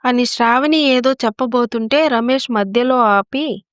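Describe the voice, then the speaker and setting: Higher-voiced woman narrating, close and dry. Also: a little slow, no echo. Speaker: story narrator. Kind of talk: scripted story narration.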